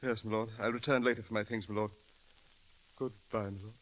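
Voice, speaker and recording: An actor playing a proper, deferential English valet in a radio comedy, with a British accent and a hesitant, formal way of speaking. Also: male voice, lowish voice, fairly even intonation, brisk, lightly acted. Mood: subdued concern. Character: proper, deferential English valet, stiffly polite and quietly dismayed. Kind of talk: 1940s radio comedy acting. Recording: crackly old radio broadcast recording.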